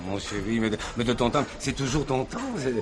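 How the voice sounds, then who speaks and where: A man's voice: medium pitch, brisk, noisy background, natural voice. A man acting in French stage comedy as a flirtatious, joking theatre electrician, stammering playfully as he teases. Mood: flirty, joking. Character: flirtatious, joking, cheeky theatre electrician nicknamed "little darling".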